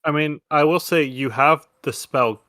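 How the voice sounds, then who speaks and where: Bright-voiced man running a tabletop game. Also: fairly high pitch, a little slow, dry recording, clean studio sound. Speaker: game master. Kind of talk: narrating a tabletop role-playing game.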